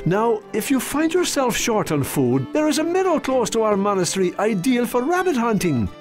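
Fussy kindly friar, lively lilting delivery, light score behind. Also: male voice, extremely high pitch, moderate pace, faint music behind. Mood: genial. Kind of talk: video game cutscene acting.

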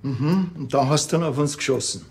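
Casting: grumbly detective, dry, husky and creaky, radio play. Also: male voice, medium pitch, fairly quick, a little mellow, no room sound. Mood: indignant. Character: grumbling, impatient, dry male Bavarian police detective. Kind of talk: radio drama acting.

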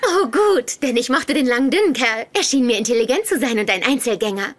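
A woman's voice: a little high, lively intonation, fairly quick. A woman playing a frank, earnest young single woman in German-dubbed sitcom dialogue. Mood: frank, musing.

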